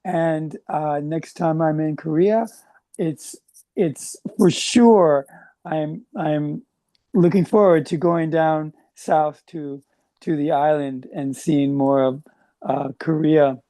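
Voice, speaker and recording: A woman saying goodbye to an online group, halting and repeating words. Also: sits low, steady tone, dark tone, no echo. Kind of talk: casual farewell on a video call. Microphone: video call.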